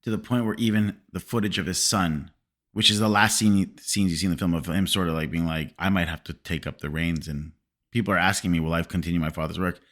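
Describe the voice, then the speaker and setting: Man with a lowish voice, native Farsi speaker. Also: fast. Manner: animated, laughing, self-correcting. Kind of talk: casual film discussion.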